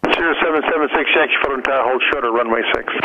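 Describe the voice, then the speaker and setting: Muffled aircraft radio carries a male air traffic controller. He rattles off short instructions at a brisk pace, with faint noise around him. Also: mid-range voice. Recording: dull radio audio, faint static and clicks.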